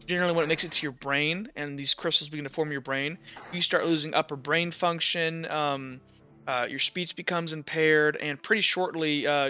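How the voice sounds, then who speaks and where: A man's voice: a little high, pushed and bright, smooth, dull audio. A man acting as game master, improvising tabletop roleplay narration in a casual, loose way, searching for words.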